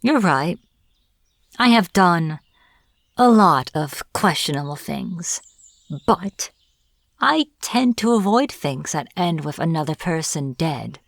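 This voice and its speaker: A woman playing a smug, teasing witch in an audio roleplay, taunting a captive in a playful, deliberate manner. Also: deep voice, very animated, creaky, no room sound, full character voice. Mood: teasing menace. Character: smug teasing young-sounding witch, captor of a hunter. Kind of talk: audio roleplay, acted monologue to the listener.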